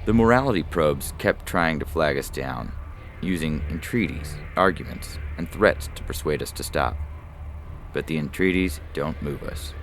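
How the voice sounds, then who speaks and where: A man's voice: rumbling low, normal speed. A man reading a scripted first-person science fiction story as narrator. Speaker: story reader. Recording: music bed under the voice. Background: background music.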